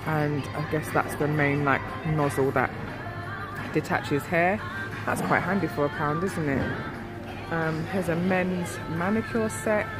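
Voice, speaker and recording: Woman, stuffy nasal voice, chatty shop vlog over music. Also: low voice. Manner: chatty, filler-heavy. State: nasal from a cold. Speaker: beauty vlogger. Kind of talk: casual shop walkthrough. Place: shop aisle, indoors. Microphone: handheld phone camera.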